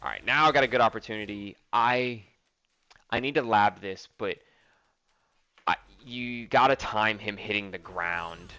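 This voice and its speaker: A man's voice: fairly low pitch, measured pace, sharp tone, no room sound. A young man casually commenting on replays of his own fighting game match, thinking aloud with lots of ums and likes.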